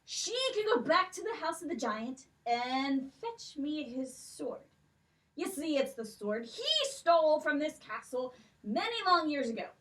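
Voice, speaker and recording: A woman's voice: high voice, expressive, unhurried, fairly bright tone, distant mic, rough recording. A woman playing a curious, scheming old king in a children's puppet show, speaking stately and questioning.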